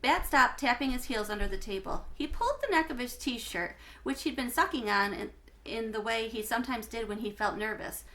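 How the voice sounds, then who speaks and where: A woman reading a children's book aloud like a teacher to schoolchildren, with expressive phrasing and emphasis on key words. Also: on the high side, normal speed. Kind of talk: read-aloud storytelling for schoolchildren.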